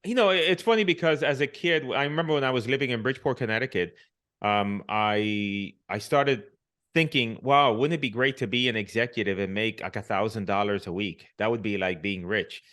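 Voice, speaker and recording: A man's voice: fairly low pitch, a little fast, a bit bright. Reflective middle-aged man from Puerto Rico. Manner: reflective, many restarts and "you know" fillers. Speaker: podcast guest. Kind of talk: casual conversational storytelling.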